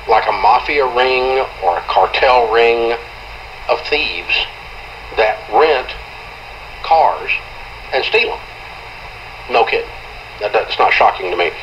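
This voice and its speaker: A man's voice: medium pitch, expressive, takes it slowly, pauses often, fairly bright tone, muffled recording, slight background noise. A man in casual radio talk, heard playing from a small radio speaker.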